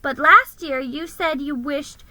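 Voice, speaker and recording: A woman voicing a squeaky, disappointed female mouse dressed as Santa, pleading in a storybook. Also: slow pace, noisy background. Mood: puzzled, pleading.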